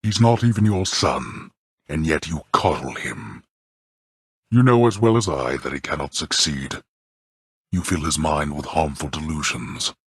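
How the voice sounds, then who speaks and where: Immensely deep, imperious underworld god. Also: male voice, lively intonation, measured pace, slightly rough, no room sound, studio quality, full character voice. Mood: cold reprimand. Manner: haughty, scornful, archaic formal diction. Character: stern, contemptuous god of the dead, imperious father. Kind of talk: video game character voice acting.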